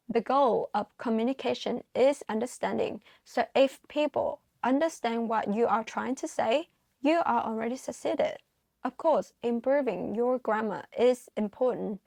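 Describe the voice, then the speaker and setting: A young woman speaks English as a learned language, with a non-native accent and an earnest, encouraging way of addressing viewers. Her voice sits a little high. The recording is dry and close, with no room sound around it. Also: vocal fry, no room sound. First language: non-English, learned English at school. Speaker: vlogger giving advice to migrants. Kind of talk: scripted advice monologue.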